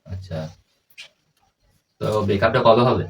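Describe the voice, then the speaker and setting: A man's voice: lowish voice, measured pace. A young man chatting casually as a prank caller, in a teasing, coaxing manner. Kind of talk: casual phone chat. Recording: distant mic, faint background noise.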